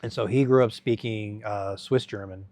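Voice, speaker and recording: A middle-aged man telling his life story as an interview guest, halting and rambling with many restarts. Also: fairly low pitch, steady tone, a little mellow.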